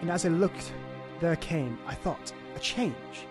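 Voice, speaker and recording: Victorian gentleman doctor, played by a man, slightly high male voice, grave and formal, haunted storyteller for audio drama, faint music beneath. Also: lively intonation, slow pace. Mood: horrified.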